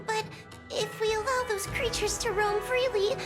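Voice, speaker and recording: A woman's voice: extremely high pitch, steady tone, normal speed. A woman playing an earnest, worried young official in a fantasy video game, speaking politely and hesitantly. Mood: worried, deferential. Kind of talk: video game character acting. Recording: game dialogue over music.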